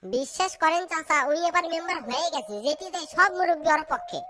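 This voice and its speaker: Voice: extremely high pitch, fast talker. A performer voicing scheming, boastful small-town political men in a cartoon comedy, blustering colloquially. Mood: opinionated.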